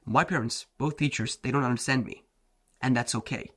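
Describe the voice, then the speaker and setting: A man's voice: medium pitch, brisk, a bit bright, breathy edge. A man whose first language is Turkish, a self-improvement coach giving a blunt, slangy motivational talk, often saying "bro" and "like". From Turkey.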